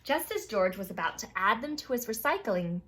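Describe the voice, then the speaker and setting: Female storytime reader, high voice, very animated delivery, speaks directly to kids and reacts as she reads. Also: fairly quick. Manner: expressive, addresses children, exclaims and reacts to the story. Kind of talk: read-aloud picture book narration with asides to young listeners.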